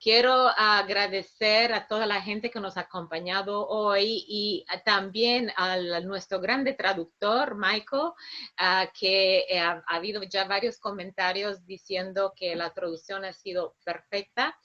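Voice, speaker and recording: A woman who speaks as a non-native, hosting a webinar with spontaneous, halting remarks as she searches for words. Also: mid-range voice, bright, forceful tone. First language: not Spanish. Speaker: webinar host. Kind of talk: spontaneous closing remarks and thanks. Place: online video webinar. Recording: dull lo-fi online call.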